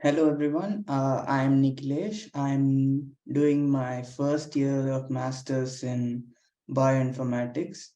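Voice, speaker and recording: A young man introduces himself slowly on a video call. His delivery is flat and his tone mellow, with a roomy echo around him. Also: mid-range voice. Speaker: master's student panelist.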